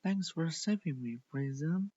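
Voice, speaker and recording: A man's voice: expressive, slow pace, warm tone, husky, full character voice. A man voicing an impulsive, lovestruck young female cartoon pony in an emotive, dramatic way for a story reading. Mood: pleading. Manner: high-pitched, emotive, dramatic. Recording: dull lo-fi close recording.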